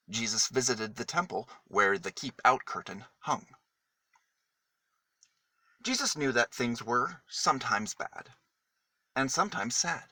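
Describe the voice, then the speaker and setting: Expressive, slow-reading man with a bright voice. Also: mid-range voice, no room sound. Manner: dramatic, deliberate phrasing with emphatic pauses. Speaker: storyteller reading a children's book. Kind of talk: expressive read-aloud story for children.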